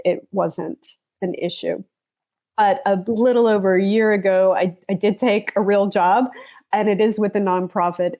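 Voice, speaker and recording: A woman's voice: lowish voice, dark tone. Thoughtful woman journalist being interviewed. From United States. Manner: thoughtful, with restarts. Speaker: travel journalist guest. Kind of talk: conversational interview.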